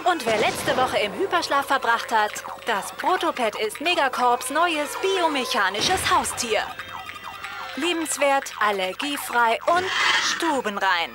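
A woman voicing a robot TV reporter, giving a brisk, presentational news report in a game cutscene. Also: high voice, animated. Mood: upbeat reporting. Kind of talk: live news report parody. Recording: loud music behind.

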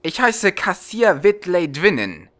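A male reader plays a young mercenary spy in a fantasy audiobook. He is politely sly and cheeky, with a raised, bright voice and lively intonation. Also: sits high, takes it slowly, voice pushed up, full character voice.

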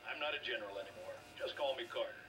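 A man's voice: mid-range voice, lively intonation, racing, far from the mic, over music, close to an everyday voice. An actor playing a firm, fatherly older ex-general with an American accent in a video game. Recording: noisy game audio off TV speakers.

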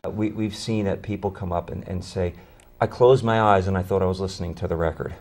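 Low-register middle-aged male musician, conversational interview guest, small studio. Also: fairly even intonation, fast talker, darkish tone. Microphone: lapel mic.

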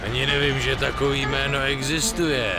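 A man playing a surly, foul-mouthed, dim-witted lowlife in a video game, crude and belligerent. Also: level tone, over loud music, natural voice. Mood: surly, evasive. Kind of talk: dubbed video game dialogue.

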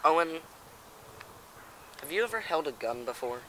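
Teen guy doing a flippant deadpan hiker in a skit, highish bright voice, faint outdoor noise. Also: moderate pace, low-quality recording. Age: late teens. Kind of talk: amateur comedy sketch acting. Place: outdoors on a woodland trail.

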